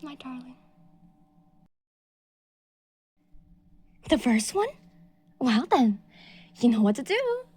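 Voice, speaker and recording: A woman voicing an obsessive, delusional stalker. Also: no room sound. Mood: coldly threatening. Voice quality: breathy whispered delivery. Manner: shifts between soft menacing whispers and shrill outbursts. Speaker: audio roleplay performer. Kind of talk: scripted audio roleplay.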